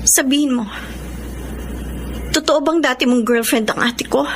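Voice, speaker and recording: Radio drama younger sister, young adult, high voice, emotional and pleading, devoted but wounded. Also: female voice, measured pace, noisy background. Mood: accusing, anguished. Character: hurt, tearful young woman student, devoted younger sister. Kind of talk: Filipino radio drama acting.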